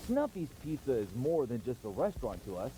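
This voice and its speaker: A man playing a polished company founder giving a scripted, salesmanlike promotional pitch full of rhetorical questions, with some noise on the recording. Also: big pitch swings, fairly quick, warm tone, natural voice, low-quality recording.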